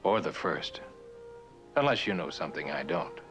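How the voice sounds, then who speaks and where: A low-pitched, sardonic man in an old suspense drama, with music behind him. He is determined and insistent, delivering clipped lines with dry sarcasm. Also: sing-song, moderate pace, fairly bright tone, highs cut off. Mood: dry, guarded. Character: determined, sardonic male traveler pressing for answers.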